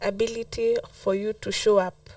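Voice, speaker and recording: A woman's voice: mid-range voice, level tone, moderate pace, a little mellow, no echo. A woman with a Kenyan accent answering conversationally as a guest in a podcast interview.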